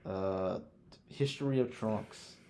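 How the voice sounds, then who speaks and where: A man talking casually as a podcast host, blunt and quick to swear as he gives his opinions. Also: lowish voice, warm tone, slight background noise. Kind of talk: casual chat, ranking films.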